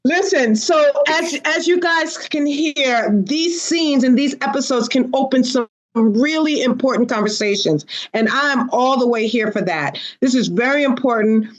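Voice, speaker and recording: A woman's voice: sits high, a little slow, hardly any pauses, low-quality recording. A woman hosting a podcast panel in a casual, conversational style. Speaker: podcast host.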